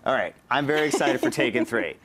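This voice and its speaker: A man's voice: mid-range voice, lively intonation, quick pace, a bit bright, slight background noise. A man chatting casually as a panelist on a movie talk show.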